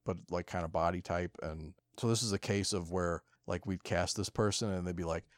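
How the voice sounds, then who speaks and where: A man's voice: low-pitched, fairly even intonation, brisk, no echo. A man co-hosting a podcast in casual, profane, self-deprecating chat. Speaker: podcast co-host.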